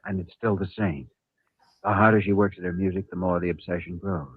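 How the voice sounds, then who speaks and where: Deep, raspy old-radio doctor. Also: male voice, fairly even intonation, no room sound. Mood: probing, concerned. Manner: measured, probing, solemn. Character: calm, probing male doctor, serious and concerned. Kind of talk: 1950s radio drama acting. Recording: muffled old radio recording with music.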